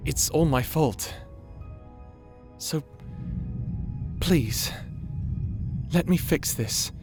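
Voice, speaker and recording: A timid young wizard from a fantasy audio drama, acted by a man, with a somewhat high male voice. He stammers and hesitates, awkward but earnest and quick to apologise, over faint music. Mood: earnest, pleading. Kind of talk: fantasy audio roleplay acting.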